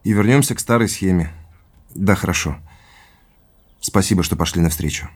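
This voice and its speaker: An actor playing an earnest, inquisitive businessman in a naturalistic audio drama, polite and measured in conversation. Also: sits low, a little mellow, husky. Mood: businesslike. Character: earnest, inquisitive businessman tracing his family's past.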